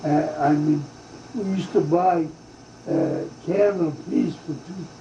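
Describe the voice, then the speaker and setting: An older man from Hungary, recalling his family's past in an oral history interview, speaking haltingly with many fillers and restarts. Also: fairly high pitch, mellow, slight background noise. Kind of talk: slow reminiscing oral history. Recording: dull lo-fi tape in a roomy space.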